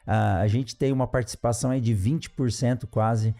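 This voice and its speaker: A man's voice: mid-range voice, fairly even intonation, normal speed, creaky. A man hosting an agriculture podcast, introducing his guest with effusive praise and frequent tag questions. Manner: warm, effusive with frequent "né" tags and restarts. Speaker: podcast host. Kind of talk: interview introduction.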